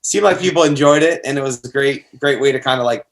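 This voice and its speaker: A man's voice: mid-range voice, fast talker. Young American man chatting casually. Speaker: band member being interviewed. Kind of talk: casual chat.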